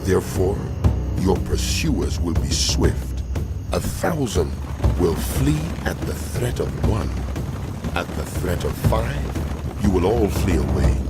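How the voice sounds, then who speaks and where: An actor voicing God in a dramatized scripture reading, solemn, commanding and deliberate as he passes stern judgment. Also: sits low, heavily acted. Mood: grave, reproving. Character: God, stern male divine voice passing judgment. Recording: very loud music behind voice.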